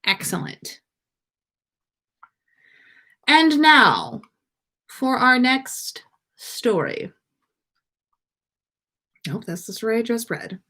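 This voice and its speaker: A woman hosting and reading stories aloud live, with an enthusiastic, animated storytelling manner. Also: on the high side, drawn-out words, pauses often. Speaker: podcast host and story reader.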